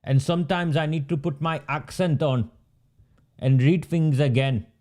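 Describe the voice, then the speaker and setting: A man's voice: on the high side, unhurried, dark tone. A man from Oldham gives a political commentary monologue. He works through his points methodically, repeating them, asking rhetorical questions, and adding dry sarcastic asides. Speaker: local campaigner and commentator.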